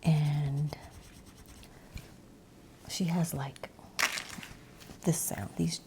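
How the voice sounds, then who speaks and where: A woman ASMR creator rambling in a breathy whisper mixed with soft, hushed speech, meandering as she goes. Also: sits low, fairly even intonation, drawn-out words, noisy background.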